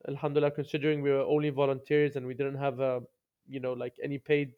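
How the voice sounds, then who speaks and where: A man's voice: mid-range voice, monotone, fast talker, clean tone, phone-quality sound. A man, telling his story conversationally as a podcast guest. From London, UK.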